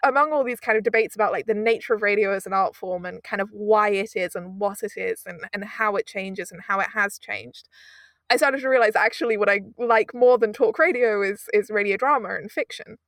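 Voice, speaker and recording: Young woman, from Britain, audio producer, animated, laughs often, dry recording. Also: medium pitch, fairly quick, dry recording. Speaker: audio drama producer, guest. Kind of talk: casual interview storytelling.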